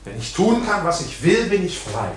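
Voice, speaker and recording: A man's voice: a little high, big pitch swings, normal speed, a little hiss or hum. A man playing an anxious, proud clerk in a radio drama, polite but uneasy and insistent. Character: anxious, proud male bank clerk under arrest.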